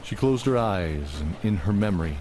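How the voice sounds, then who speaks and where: A man narrating a scripted fantasy drama in a measured, deliberate, dramatic way. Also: sits low, animated, background noise.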